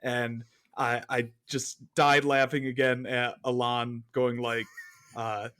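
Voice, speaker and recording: A man's voice: medium pitch, flat delivery, takes it slowly, a bit bright, clear, resonant tone. A man chatting casually as a podcast guest, telling stories by acting out exchanges. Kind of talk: casual chat.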